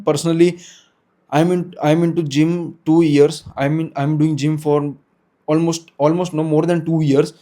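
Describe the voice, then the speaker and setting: A man's voice: a little high, level tone, moderate pace, warm tone. A young man in his twenties with an Indian English accent, a native Hindi speaker, talking hesitantly to camera about fitness and often restarting his sentences. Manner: hesitant, frequent restarts and self-corrections. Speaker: fitness vlogger. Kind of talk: unscripted talk to camera. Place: small room indoors.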